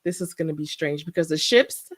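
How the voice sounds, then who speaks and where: A woman guest talking in a relaxed, plainspoken way, with light laughs. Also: low voice, brisk. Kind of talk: casual interview chat.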